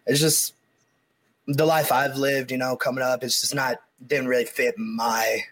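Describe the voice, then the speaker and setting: A man's voice: medium pitch, level tone, measured pace, fairly bright tone. Easygoing man telling his story. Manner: easygoing storytelling with many fillers. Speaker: guest. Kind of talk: casual chat.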